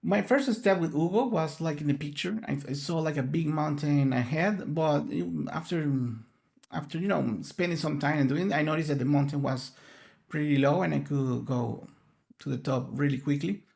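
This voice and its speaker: Spanish-speaking man with a gravelly voice. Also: on the high side, moderate pace, darkish tone. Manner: earnest, explanatory, searching for words. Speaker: conference speaker. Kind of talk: online conference presentation.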